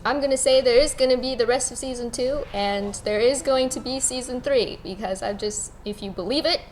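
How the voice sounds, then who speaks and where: A woman's voice: on the high side, brisk, a little hiss or hum, poor audio. A woman co-hosting a casual fan chat, emphatic and opinionated, repeating herself for stress. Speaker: show co-host.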